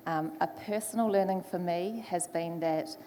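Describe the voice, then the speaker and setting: A woman's voice: fairly low pitch, normal speed, dark tone. A woman with a New Zealand accent, speaking as a moderator introducing a live panel. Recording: echoey hall, faint background noise.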